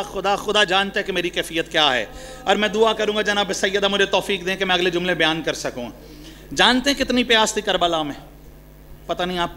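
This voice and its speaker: A man's voice: sits high, pushed and bright. A man giving an impassioned mourning sermon, often turning to his listeners with rhetorical questions. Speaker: religious mourning orator.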